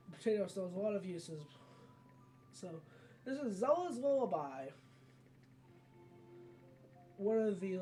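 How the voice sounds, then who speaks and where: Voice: medium pitch, dark tone, low-quality recording. A let's play host giving casual, rambling, conversational commentary over a video game.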